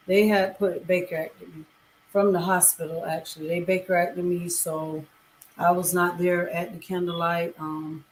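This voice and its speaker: A middle-aged woman chats casually as a storytime vlogger. Her voice is lowish and quite even, at an unhurried pace. The recording is echoey with some background noise. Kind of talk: personal storytelling to camera, casual chat. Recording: roomy echo with background noise.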